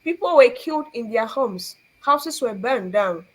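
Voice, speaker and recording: A woman's voice: fairly high pitch, expressive, measured pace, rough recording. A young Cameroonian woman hosting a faith talk, earnest and preachy, asking rhetorical questions and laughing often. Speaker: show host.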